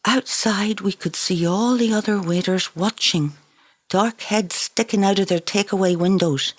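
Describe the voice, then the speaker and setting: Woman, lowish voice, expressive literary narration, incantatory and dramatic delivery. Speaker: author reading own novel.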